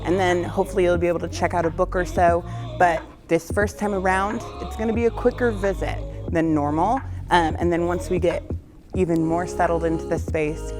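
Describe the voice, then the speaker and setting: A cheerful woman librarian speaks to students, her voice sitting a little low for a woman. She sounds upbeat and friendly, yet firm about the rules. Music plays clearly behind her. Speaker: school librarian. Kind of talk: school announcement video.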